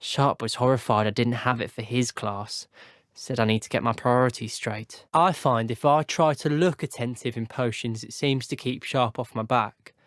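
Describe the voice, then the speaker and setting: Hapless wizard student for a game, adult actor; mid-range, rueful and chatty, very dry recording. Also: male voice, medium pitch, fairly even intonation, moderate pace, a bit bright, no echo, natural voice. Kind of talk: video game character dialogue.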